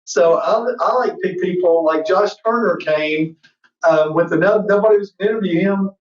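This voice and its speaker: A man's voice: high voice, moderate pace. Fifty-something man, distant and roomy. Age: 50s. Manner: rambling, excited storyteller. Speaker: guest, entertainment show host. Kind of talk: casual interview chat. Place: indoor room. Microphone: far camera on a video call. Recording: roomy, distant, muffled video-call audio.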